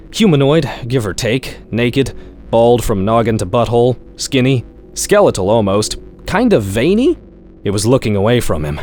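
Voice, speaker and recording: A man's voice: medium pitch, darkish tone, a little hiss or hum. A man reading a scripted short story in a wry, sardonic storytelling manner, like a horror-comedy audiobook. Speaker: author-narrator reading own short story.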